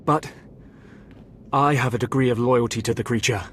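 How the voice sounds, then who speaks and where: An actor playing a remorseful keeper at a Sith academy, speaking in a measured, somber way. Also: male voice, mid-range voice, steady tone, a little hiss or hum, natural voice. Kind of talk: video game voice acting.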